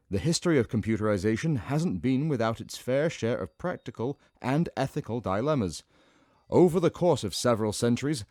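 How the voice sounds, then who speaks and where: A formal professor delivering a history lecture, voiced by a man. He speaks in a measured, mid-pitched voice. The sound is dry and close. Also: darkish tone, no echo, natural voice. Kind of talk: scripted narration.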